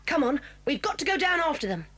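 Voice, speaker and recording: A headstrong British tomboy girl in a children's adventure drama. The voice is high and determined, swinging freely in pitch, in a close dry recording. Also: very animated, quick pace, a bit bright, no echo. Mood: alarmed, urgent. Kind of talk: children's audio drama acting.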